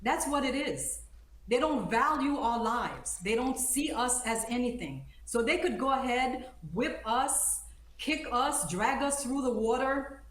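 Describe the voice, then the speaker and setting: Emphatic woman in a roomy, echoing space. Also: a little high. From born in Haiti, grew up in the United States. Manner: emphatic, indignant. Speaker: immigration attorney, interview guest. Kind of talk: live news interview.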